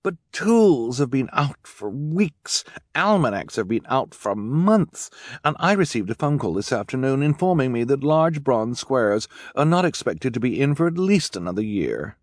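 Man putting on a haughty, high-pitched society woman. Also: sing-song, no room sound, studio quality. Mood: haughty, dismissive. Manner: affected, breathy gasps, emphatic drawn-out words. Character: haughty, gushing, fashion-obsessed rich woman guardian. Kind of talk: audiobook character voice.

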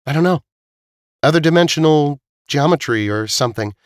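A man voicing a sharp, earnest young woman in audiobook dialogue, explaining things insistently. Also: a little high, lively intonation, brisk, dry recording, studio quality, pitched above the performer's own voice, close to an everyday voice.

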